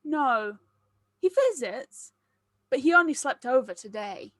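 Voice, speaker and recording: A woman's voice: high voice, lively intonation, a little slow, fairly bright tone, dry recording. A woman voicing a curious, innocent small child who speaks in an earnest, simple, direct way. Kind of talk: acted script table read.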